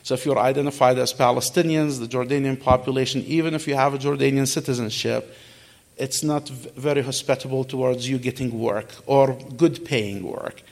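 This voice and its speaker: Gravelly middle-aged man telling his story. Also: medium pitch. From Kuwait. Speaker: guest speaker answering audience questions. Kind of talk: conversational personal storytelling.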